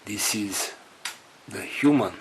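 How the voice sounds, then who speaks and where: Very slow, low-voiced earnest man. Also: a little hiss or hum. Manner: halting, earnest, phrases broken by pauses. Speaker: spiritual group leader. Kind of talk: spiritual address to group members.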